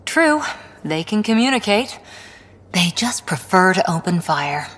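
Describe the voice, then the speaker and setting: A low-voiced, dry female soldier. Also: sing-song, unhurried, fairly bright tone, heavily acted. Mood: dry, composed. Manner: clipped, matter-of-fact, dry. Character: tough, disciplined female human soldier, dryly wry. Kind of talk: video game dialogue acting. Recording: long reverb.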